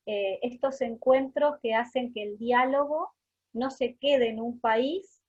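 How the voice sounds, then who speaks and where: A woman with an Argentine accent giving an informal academic lecture, pausing often, over dull online call audio. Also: a little high, no echo. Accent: Argentine Spanish. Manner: deliberately slowed, with pauses. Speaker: guest lecturer, academic researcher.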